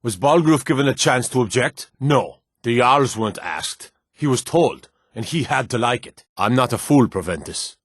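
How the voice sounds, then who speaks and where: An actor playing a gruff, proud Nordic warrior and guard in a fantasy video game, speaking in a firm, emphatic, declamatory way. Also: male voice, medium pitch, animated, moderate pace, few pauses, no echo, studio quality. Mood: indignant, resolute. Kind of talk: dramatic video game dialogue.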